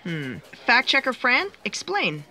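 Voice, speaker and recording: A woman playing the brisk, bossy narrator of a cosmic book in comic sci-fi audio theatre, wry and presenter-like. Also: on the high side, wildly swooping pitch, pushed and bright, full character voice. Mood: wry, officious.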